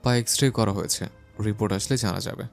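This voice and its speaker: A woman voicing an injured young husband in an audio story, curt and caring, with a lowered, gravelly male voice. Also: quick pace, a little mellow, soft background music. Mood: firm, gentle. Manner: firm, curt, scolding tenderly.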